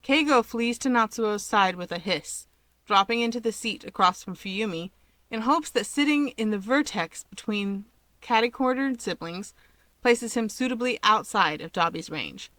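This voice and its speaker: A woman's voice: fairly high pitch, expressive, normal speed, fairly bright tone, no echo. A woman who reads fan fiction aloud and chats informally to listeners as the host of her channel. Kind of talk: expressive storytelling and casual chat to listeners.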